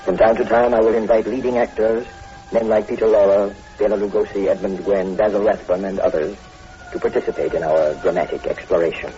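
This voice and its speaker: An actor playing a mysterious horror host, narrating solemnly and theatrically in 1940s radio drama style. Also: male voice, on the low side, little pitch movement, a little fast, mellow, natural voice. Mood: ominous, formal. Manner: solemn, ominous, theatrical, formal. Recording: muffled old radio recording, faint music.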